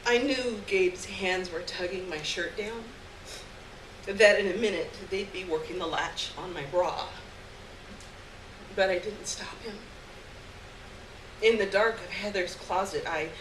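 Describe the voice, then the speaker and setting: A woman giving an expressive dramatic reading of a literary short story live on stage, acting out the dialogue. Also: medium pitch, slow pace, pauses often. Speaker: story reader. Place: live stage event, recorded from a distance. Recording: roomy distant live recording, clear background noise.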